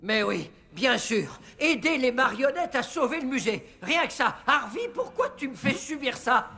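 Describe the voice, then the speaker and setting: An exasperated cartoon father who distrusts what he hears. His voice runs high and very animated, with a theatrical, scolding delivery. Music plays underneath. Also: normal speed, creaky, full character voice. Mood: exasperated, incredulous.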